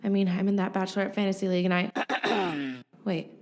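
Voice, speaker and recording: A woman's voice: mid-range voice, completely flat delivery, fast talker, a little mellow, natural voice. A woman acting as a scatterbrained narrator on a secret mission in a radio play, chatty and comic. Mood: flustered. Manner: chatty, comic, self-interrupting. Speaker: narrator and lead actor. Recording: music behind the voice. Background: music.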